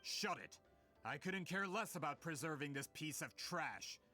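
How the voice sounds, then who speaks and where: An actor playing a scheming, haughty merchant in a video game, pompous and theatrical. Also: male voice, fairly high pitch, animated, unhurried, pushed and bright, long reverb tail, faint music behind. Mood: scornful, furious. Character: scheming, haughty merchant man, desperate and indignant. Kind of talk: video game villain acting.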